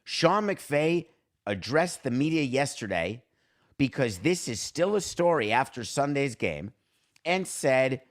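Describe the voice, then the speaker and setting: A man's voice: medium pitch, sing-song, takes it slowly. A man hosting a solo sports talk show, giving opinionated commentary in an emphatic, sardonic way with rhetorical questions. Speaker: sports talk podcast host.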